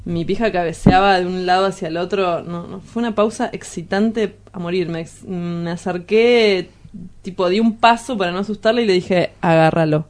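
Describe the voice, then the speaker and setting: A low-pitched, colloquial Argentine voice for a radio drama , acted by a woman. The character is a pretentious, sleazy lover who boasts and dodges, hesitating before spinning wordy confessions. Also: fairly even intonation, moderate pace, close to an everyday voice. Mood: smug, confiding. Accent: Argentine Rioplatense. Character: sleazy, pretentious, self-styled philosopher lover, evasive and boastful.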